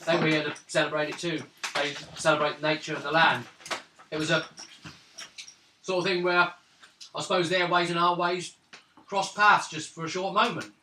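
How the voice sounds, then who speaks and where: A man's voice: a little high, fairly even intonation, normal speed, pauses often, bright, forceful tone. A man improvising narration and dialogue as a tabletop game master. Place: room around a gaming table. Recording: roomy, distant mic, faint noise.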